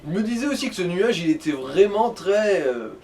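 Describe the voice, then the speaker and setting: A man's voice: high voice, lively intonation, normal speed, a little mellow. A man giving casual gaming commentary, explaining things and guiding the player. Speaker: let's play co-commentator. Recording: distant mic, faint noise.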